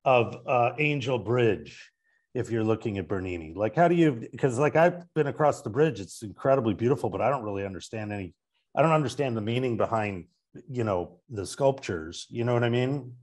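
A man's voice: mid-range voice, animated, fairly quick, darkish tone. A man in his fifties co-hosting an interview and asking questions in a casual, conversational way. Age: 50s. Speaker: podcast co-host. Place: indoor room on video call. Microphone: earbud headset.

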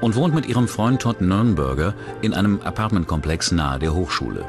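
Deep voiced guy narrating a true crime doc, music going behind him. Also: very animated, a little fast. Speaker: documentary narrator.

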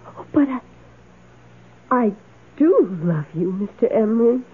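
An actress playing a meek, lonely, adoring maid in a radio drama, speaking in a timid, halting, wistful way. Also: female voice, on the high side, big pitch swings. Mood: flustered, adoring. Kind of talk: old radio drama acting. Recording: tinny, hissy old radio recording.

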